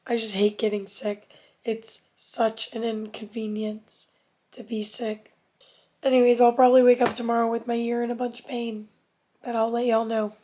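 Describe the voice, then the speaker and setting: A young adult woman chatting casually to her vlog camera, hoarse from losing her voice, with lots of "like" fillers. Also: a little high, flat delivery, normal speed, warm tone. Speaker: vlogger.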